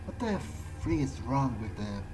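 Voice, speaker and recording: A man's voice: mid-range voice, very animated, a little slow, music in the background. A man doing in-character let's play commentary, talking to himself conversationally as he reacts to the game.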